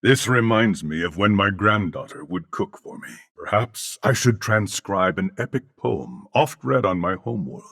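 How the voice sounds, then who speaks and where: A man voicing a courteous older alien warrior-scholar in a video game, speaking formally and eloquently with measured diction. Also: on the low side, expressive, gravelly, a bit bright, dry recording, clean studio sound, heavily acted. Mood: scholarly, content. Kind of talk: video game character voice lines.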